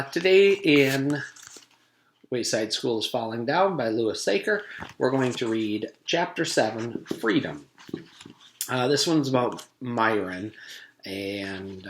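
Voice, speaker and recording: A man's voice: mid-range voice, slight background noise. A man, a teacher, reading a children's storybook aloud to his students. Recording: echoey room.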